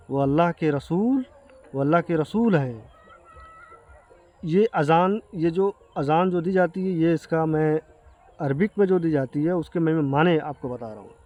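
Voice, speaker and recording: A man with an Indian accent giving an earnest explanation to viewers, speaking haltingly and repeating himself. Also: on the high side, a little mellow. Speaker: video creator addressing viewers. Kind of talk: explanatory talk to camera.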